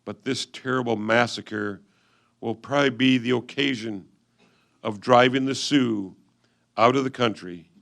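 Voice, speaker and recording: A man reading old letters aloud in a reader's theatre style, with a solemn, measured delivery. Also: mid-range voice, gravelly, a bit bright.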